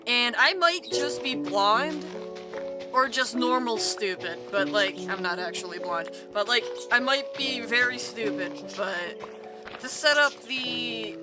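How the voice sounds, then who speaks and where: A woman with a somewhat high, rough-edged voice rambles through a casual live stream as she plays. She drifts from topic to topic and swears freely. Music runs clearly under her desk microphone. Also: slow pace. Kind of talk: casual live stream chat while gaming. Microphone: desk microphone with mute button. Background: background music.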